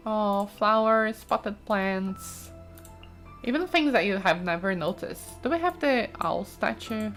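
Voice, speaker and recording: A woman's voice: mid-range voice, expressive, slow pace, a bit bright. Chatty woman commentating a game over music. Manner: chatty, exclaiming, informal. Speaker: gaming streamer, let's play host. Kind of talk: casual let's play commentary, thinking aloud while exploring a game.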